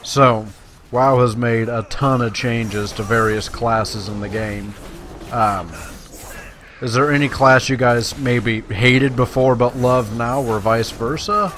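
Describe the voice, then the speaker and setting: A man's voice: mid-range voice, slow pace, a bit bright, slight background noise. A man giving casual, folksy gameplay commentary, talking to his viewers. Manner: folksy, conversational, addresses viewers. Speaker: let's play host. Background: video game sounds.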